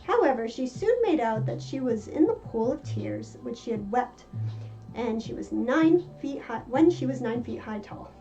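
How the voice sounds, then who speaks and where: A woman reading a children's story aloud in a theatrical, expressive manner. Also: a little high, moderate pace. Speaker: storybook reader, cosplayer in character. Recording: muffled lo-fi with music behind. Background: faint music.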